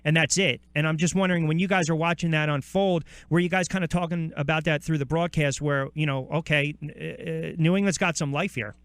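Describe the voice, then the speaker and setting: A man's voice: a little high, steady tone, fairly quick, hardly any pauses, a bit bright, smooth, dry recording. A man with an American accent hosting a sports radio interview, chatty, with long rambling questions that restart midway.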